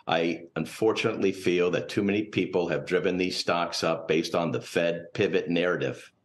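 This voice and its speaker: A man's voice: low voice, steady tone, moderate pace. A man speaking as a guest financial analyst, giving unscripted, cautious and analytical commentary in a TV interview. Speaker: portfolio manager and finance professor, guest analyst.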